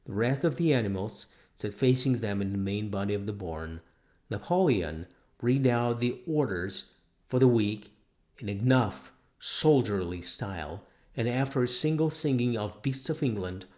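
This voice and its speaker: A man's voice: fairly low pitch, a little mellow. A man reading a novel aloud as scripted narration. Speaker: audiobook narrator.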